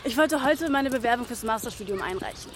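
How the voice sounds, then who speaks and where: A woman's voice: fairly high pitch, animated, fast, background noise, natural voice. An actress in her twenties playing a frustrated, disillusioned young graduate in naturalistic radio drama, arguing and questioning. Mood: downcast. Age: 20s. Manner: argumentative, questioning.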